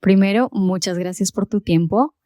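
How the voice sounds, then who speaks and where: A woman's voice: medium pitch, normal speed, warm tone, dry recording, clean studio sound. A woman, hosting her own podcast and telling her personal story in an earnest, conversational way. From Colombia. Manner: conversational, earnest, with small fillers. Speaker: podcast host and health coach.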